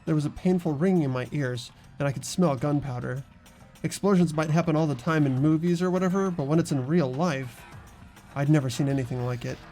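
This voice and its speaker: A man's voice: on the high side, a little fast, warm tone, faint music behind, close to an everyday voice. A man playing an earnest young student who narrates events in first person for a fan game dub. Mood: shaken. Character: earnest ordinary young male student narrating his ordeal.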